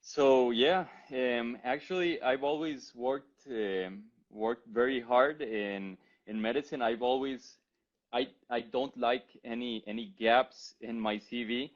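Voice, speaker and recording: A man's voice: mid-range voice, slow pace. Costa Rican man on dull call audio. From Costa Rica. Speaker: interview guest, physician. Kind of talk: conversational interview answers.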